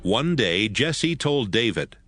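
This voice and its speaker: A man's voice: medium pitch, sing-song, slow, fairly bright tone. A man narrating a dramatized audiobook as a scripted storyteller. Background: music.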